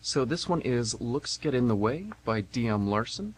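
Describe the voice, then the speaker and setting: A man's voice: medium pitch, expressive, moderate pace, slight background noise. A man reading scripted narration and announcements for a radio theatre broadcast.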